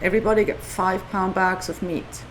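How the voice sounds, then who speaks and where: A woman's voice: mid-range voice, fairly quick, a little hiss or hum, poor audio. A woman from Switzerland with a Swiss accent, a guest telling stories in a casual podcast interview. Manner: animated storytelling with quoted dialogue. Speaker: guest, non-profit founder.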